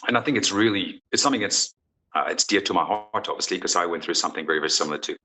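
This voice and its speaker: A man's voice: low voice, racing, bright, forceful tone, poor audio. A man in his forties from South Africa, a football coach answering interview questions in an earnest, frank way with many restarts.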